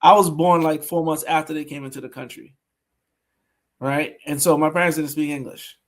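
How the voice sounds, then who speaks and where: Male podcast host, high and lively, tells stories with emphatic repeats. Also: moderate pace. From Brooklyn, New York. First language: Haitian Creole. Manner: animated storytelling, emphatic repeats. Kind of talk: conversational interview.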